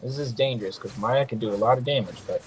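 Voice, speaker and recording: A man's voice: mid-range voice, fast talker, slight background noise, rough recording. Male gaming host, slightly nasal, loose and jokey, trash-talks his opponents. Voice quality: sometimes nasal. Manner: loose, joking, slangy trash talk. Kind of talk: casual gameplay commentary.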